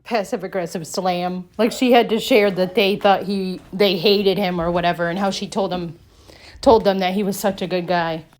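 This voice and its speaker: A woman giving casual reaction commentary in a skeptical, halting way. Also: mid-range voice, fairly even intonation, a little mellow. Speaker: commentary channel creator.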